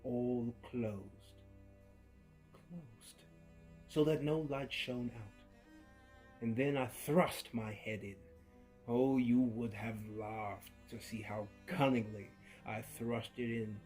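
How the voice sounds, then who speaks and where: A man reads a horror tale slowly and deliberately, in a dark, mellow voice. He swings from near-whispers to sudden loud outbursts, with an unhinged edge. Faint violin music plays behind him. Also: mid-range voice, steady tone. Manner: unhinged, with creepy laughs. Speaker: narrator. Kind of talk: dramatic horror story reading.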